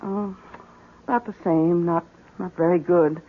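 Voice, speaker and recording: An actress playing a polite, worried wife in a 1970s radio drama, gentle and hesitant. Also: female voice, lowish voice, fairly even intonation, unhurried. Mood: worried, uneasy. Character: polite, worried, uneasy wife of a moody painter. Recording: muffled, tinny 1970s radio broadcast.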